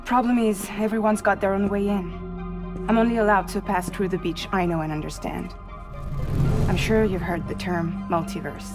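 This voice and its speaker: An actress playing a weary, determined woman courier in a video game, speaking in intense, deliberate, dramatic phrases. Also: mid-range voice, steady tone, natural voice. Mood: explaining, weary. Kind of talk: cinematic game acting. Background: music.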